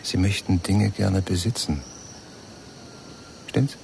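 An actor playing a cynical yet gentle man with a gravelly voice and a blunt, probing manner in a German radio crime drama. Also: sits low, fairly even intonation, slow pace, slight background noise, full character voice. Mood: cynical, frank.

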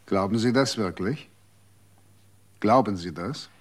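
An actor in a radio drama playing a calm, aloof former guardian of the dead who speaks curtly. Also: male voice, fairly low pitch, slow pace. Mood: cold, weary. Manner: calm, curt.

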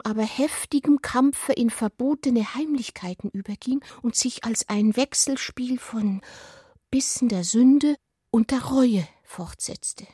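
Breathy, husky woman narrating literature. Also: a little high, warm tone, no room sound, clean studio sound. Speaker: narrator of a literary radio play.

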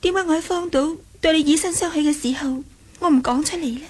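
A woman's voice: high voice, quick pace, close to an everyday voice. A woman with a Hong Kong Cantonese accent playing a jealous, reproachful young martial-arts heroine in a radio drama. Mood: grave, sorrowful.

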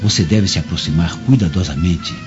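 A low, muffled radio-play voice of a vengeful Brazilian plotter. He speaks solemnly and with sweeping, dramatic intonation, over soft music. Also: wildly swooping pitch, brisk. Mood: conspiratorial, assured. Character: vengeful desperate male conspirator against Roman masters. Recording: muffled lo-fi audio, music underneath.

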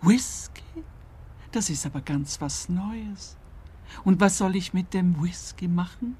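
An actress playing a stern, seductive dominatrix in a radio play. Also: female voice, fairly low pitch, animated, slow, mellow, breathy edge, exaggerated voice. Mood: sultry, puzzled.

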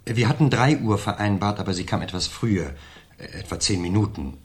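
A man's voice: lowish voice, brisk, lightly acted. A man playing a suave, self-important businessman who nervously gives his alibi in a German radio crime drama, explaining himself in an ingratiating way. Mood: careful, courteous. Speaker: actor in a radio crime play.

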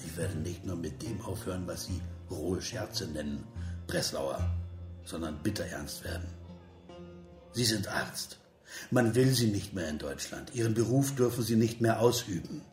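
An actor in a radio play voicing a bitterly sarcastic political cynic of 1930s Germany, with a rounded, rough voice and biting, declamatory delivery. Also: male voice, sits low, a little slow. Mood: bitterly serious. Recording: roomy echo, music behind.

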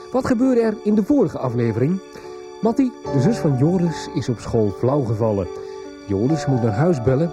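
A man narrating a scripted radio soap recap as announcer, with a suspenseful storytelling manner. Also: mid-range voice. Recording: radio narration over loud music. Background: music.

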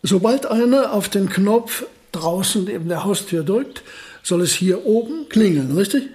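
An actor in a German radio play voicing an elderly genius physicist, courteous, modest and quietly stubborn, with a deliberate, precise, formal delivery. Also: male voice, sits high, lively intonation. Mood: pedantic, resolute.

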